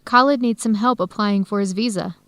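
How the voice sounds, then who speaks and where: A woman's voice: mid-range voice, brisk, a bit bright, no echo, lightly acted. A woman acting as a kind, conciliatory young girlfriend in a radio drama, speaking earnestly. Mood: earnest, pleading. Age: young adult. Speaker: actor in an educational radio drama.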